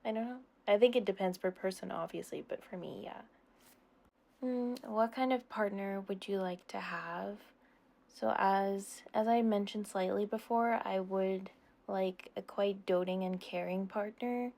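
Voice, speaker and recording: A young woman speaks at a middling pitch in a casual question-and-answer style. Her phrasing is informal and full of small qualifications. She tends to be self-deprecating as she explains herself. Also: fairly even intonation, a little slow, creaky, dark tone. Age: young adult. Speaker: ASMR roleplay creator answering subscriber questions. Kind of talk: casual Q&A chat.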